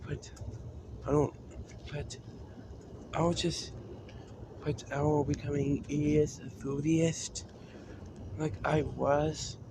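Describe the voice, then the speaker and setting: A man giving an unscripted vlog-style talk as a video creator, haltingly, often repeating and restarting his phrases. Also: a little high, level tone, gravelly, background noise. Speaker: video creator announcing a decision to fans.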